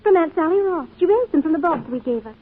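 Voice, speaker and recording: An actress playing a gentle homemaker wife in an old-time radio drama, homely and solicitous. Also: female voice, very high-pitched, animated, fast. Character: gentle, worried wife, dryly teasing homemaker. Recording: muffled old radio recording, music.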